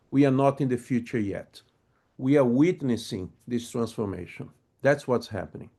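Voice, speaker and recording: A man's voice: medium pitch, darkish tone. Man with a Brazilian Portuguese accent, hesitant. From grew up in a German- and French-speaking home, moved to Brazil. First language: German and French. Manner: hesitant, many uh fillers, friendly and conversational. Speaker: webinar presenter on project management. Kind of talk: live webinar presentation.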